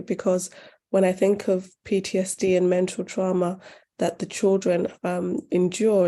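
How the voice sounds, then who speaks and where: A woman from northern Uganda, raised in the UK, who founded a charity, speaking at a forum in a measured, earnest way with some pauses. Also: lowish voice, warm tone. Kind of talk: forum talk and answering questions.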